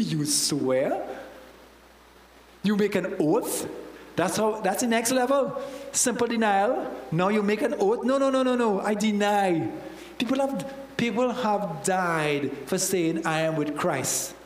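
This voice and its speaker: High-voiced Trinidadian preacher in an echoey hall. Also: male voice, takes it slowly. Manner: animated, acts out Bible characters with local slang. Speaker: church elder preaching. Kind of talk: sermon. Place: church hall with stage. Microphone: handheld microphone. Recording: roomy church hall reverb.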